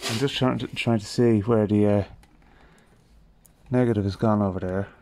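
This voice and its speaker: A man's voice: lowish voice, steady tone, normal speed, dark tone. An Irish man giving casual, hands-on repair commentary, thinking aloud in an informal way with wry understatement. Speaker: host of a radio repair video. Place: garage. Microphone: handheld phone.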